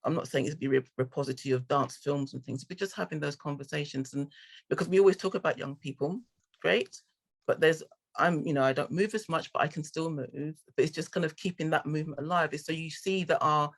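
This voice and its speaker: A man's voice: on the high side, gravelly, fairly bright tone. A man speaking as a panelist in a video-call discussion, thoughtful and restarting his sentences as he goes.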